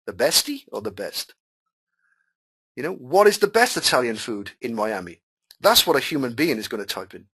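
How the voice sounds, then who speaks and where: A man's voice: medium pitch, very animated, moderate pace, sharp tone, husky. A man whose first language is Welsh hosts a live webinar. He reads out students' questions and answers them in a chatty, repetitive way, over a computer mic. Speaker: webinar host and marketing coach.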